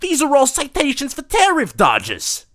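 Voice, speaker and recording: A man's voice: very high-pitched, huge pitch swings, measured pace, fairly bright tone, slight background noise, pitched above the performer's own voice, full character voice. A man doing a smug cocky assassin. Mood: outraged. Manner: tough-guy drawl, sarcastic. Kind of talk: fan audio drama acting.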